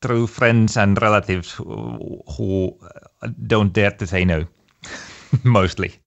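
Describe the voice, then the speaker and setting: Male neuroscientist, low voice, plain and slightly wry. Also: steady tone, takes it slowly, gravelly, dull audio. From Finland. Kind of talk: interview answers.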